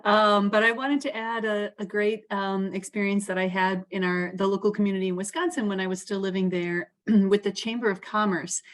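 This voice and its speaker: A woman's voice: medium pitch, steady tone, fairly quick. A woman sharing an anecdote casually in an online meeting, in a conversational, meandering and explanatory way. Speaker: participant sharing an experience. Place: remote online call.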